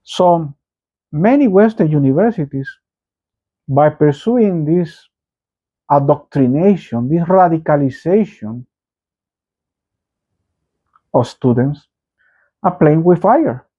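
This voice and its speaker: A middle-aged man from El Salvador with a Salvadoran Spanish accent gives opinionated political commentary in an emphatic, halting way. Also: on the high side, lively intonation, breathy edge. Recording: dull lo-fi microphone sound.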